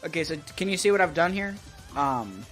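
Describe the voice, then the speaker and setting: A man with a slightly high voice chats casually as he co-hosts a livestream. He sounds hesitant and thinks out loud on a close stand microphone, with faint music and keyboard typing behind him. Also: very animated, fairly quick. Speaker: live streamer co-hosting. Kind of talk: casual chat. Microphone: close XLR microphone on a stand.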